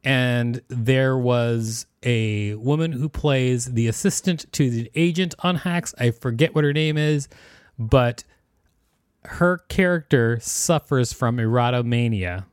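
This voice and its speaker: A man's voice: mid-range voice, slow pace, clear, resonant tone, dry recording, clean studio sound. A man co-hosting a podcast, reading an article aloud and breaking in with joking commentary. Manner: animated, joking asides. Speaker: podcast co-host.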